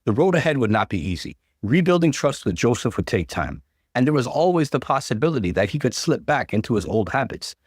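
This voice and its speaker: A man reading an online story aloud as the channel's narrator, in an expressive storytelling manner. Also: medium pitch, brisk, no echo, clean studio sound.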